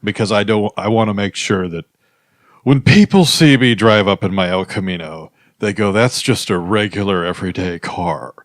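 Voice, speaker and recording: A man improvising comedy, making pompous, mock-profound pronouncements in a deadpan way. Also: on the low side, moderate pace, dry recording, clean studio sound.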